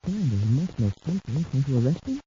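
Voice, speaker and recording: Guy playing an eager young space cadet, talks fast, very lively, muffled hissy old radio. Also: mid-range voice, lightly acted. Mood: eager, curious. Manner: eager, deferential, excitable. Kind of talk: mid-century radio serial acting.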